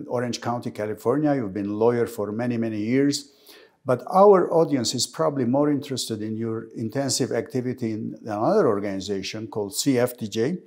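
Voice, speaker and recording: A man interviewing a guest, who introduces her in a measured, formal way with pauses. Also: mid-range voice, a little mellow. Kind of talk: interview questions.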